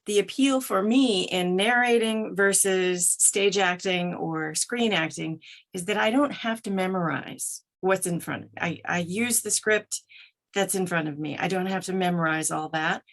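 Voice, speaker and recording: A woman's voice: fairly low pitch, measured pace, fairly bright tone. A middle-aged woman who narrates audiobooks, answering interview questions conversationally as a podcast guest.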